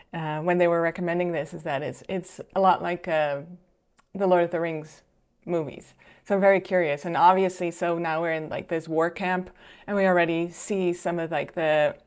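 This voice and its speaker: A woman with a slightly low voice talks through a video as she watches it. She is chatty and thoughtful, pausing on fillers and restarting her sentences. It sounds like a casual reaction commentary. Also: clear, resonant tone. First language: Dutch. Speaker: filmmaker and reaction video host.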